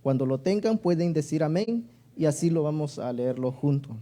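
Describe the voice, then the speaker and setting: Male preacher, southern Mexican accent. High, mellow voice, deliberate delivery of sermon and scripture. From Oaxaca, Mexico. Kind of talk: sermon with Bible reading.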